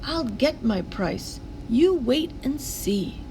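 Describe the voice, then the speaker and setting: A woman's voice: sits high, animated, slow pace, background noise, pitched above the performer's own voice, full character voice. A woman voicing an earnest, determined young girl in a children's storybook, with an insistent manner. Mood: defiant.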